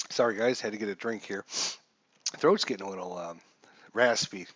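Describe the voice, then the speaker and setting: A man streaming casual play-by-play commentary of a baseball game, with announcer-like calls and pauses. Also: lowish voice, fairly bright tone, slightly rough, dull audio. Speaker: live streamer, host.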